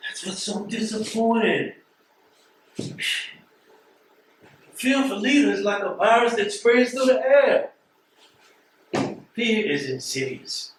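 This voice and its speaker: An actor playing a blunt, disappointed postal carrier who lectures emphatically in a stage play. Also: male voice, high-pitched, animated, measured pace. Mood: disappointed, emphatic. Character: blunt, disappointed male postal carrier, preachy old friend. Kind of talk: stage play acting, dramatic dialogue. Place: theatre stage. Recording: roomy stage, distant mic, faint noise.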